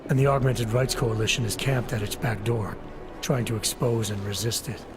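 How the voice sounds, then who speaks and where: An actor playing a guarded, terse augmented security agent with an American accent, in a game cutscene. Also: male voice, mid-range voice, moderate pace, close to an everyday voice. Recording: game cutscene with loud music.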